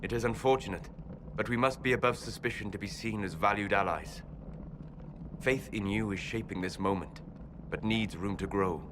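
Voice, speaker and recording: A man playing a calm, wise elven mage advisor who speaks in a measured, solemn, cryptic way in a fantasy video game. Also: fairly low pitch, noisy background, natural voice. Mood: grave, thoughtful. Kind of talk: dramatic video game dialogue. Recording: music behind the voice.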